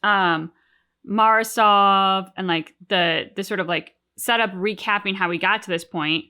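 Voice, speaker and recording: A woman's voice: mid-range voice, unhurried, pushed and bright, smooth. A woman co-hosting a reaction show, chatting casually and acting out her own reactions. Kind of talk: casual discussion of game cutscenes.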